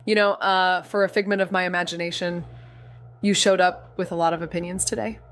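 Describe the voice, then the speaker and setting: An actress playing a sardonic, restless young small-town amateur sleuth, narrating an audio drama in slangy first person. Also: female voice, fairly low pitch, fairly even intonation, a little fast, a bit bright, no room sound, clean studio sound, lightly acted. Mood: sarcastic, deadpan. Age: young adult. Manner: wry, sarcastic, slangy.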